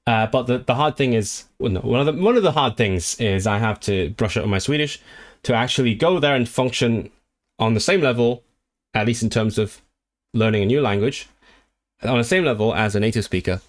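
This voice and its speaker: A man at a home streaming desk, chatting to his viewers in a loose, rambling way. He keeps restarting his sentences and filling pauses, and he sounds eager about his subject. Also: medium pitch, lively intonation. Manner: rambling, many restarts and fillers; enthusiastic about languages. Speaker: language livestreamer. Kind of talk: casual livestream chat, reading viewer comments.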